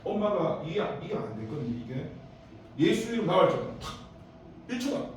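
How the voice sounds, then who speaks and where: A man's voice: high voice, big pitch swings, normal speed, a little mellow, distant mic, slight background noise. A man with a Daegu accent preaching a youth service sermon, telling stories with sudden bursts of emphasis and rambling asides. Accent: Daegu Korean. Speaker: pastor. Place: church sanctuary. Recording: echoey church hall, preacher off-mic.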